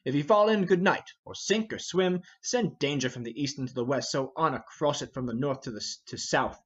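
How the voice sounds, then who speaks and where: A man's voice: mid-range voice, brisk, fairly bright tone, husky, muffled recording. A man playing a hot-headed, impatient young nobleman, ranting in a declamatory Shakespearean stage reading. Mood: fervent. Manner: impetuous, declamatory. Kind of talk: dramatic reading of a Shakespeare play.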